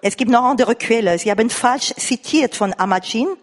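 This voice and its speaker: A woman from France with a foreign accent, speaking from the floor haltingly and insistently as she searches for words. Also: a little high. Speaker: audience member at a lecture. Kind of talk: spontaneous comment from the floor. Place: reverberant lecture room or hall. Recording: echoey hall recording of live talk.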